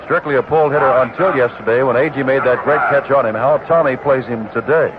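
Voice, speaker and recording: A man's voice: medium pitch, brisk, background noise. An American man giving baseball commentary on the radio. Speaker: baseball broadcast announcer. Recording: dull vintage radio broadcast.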